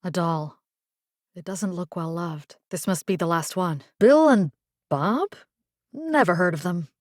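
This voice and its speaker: A woman's voice: lowish voice, animated, unhurried, a little mellow, no echo, studio quality. An actress playing a caring, tough young woman survivor in a video game, talking in a casual, wry way. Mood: alert, dry. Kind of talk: video game character dialogue lines.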